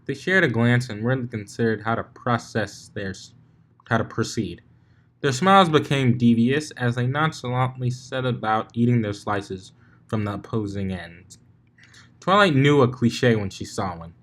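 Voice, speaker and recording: A man's voice: mid-range voice, lively intonation, normal speed, fairly bright tone. A man reading fan fiction aloud as narrator, informal and sometimes stumbling over the text. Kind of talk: story narration.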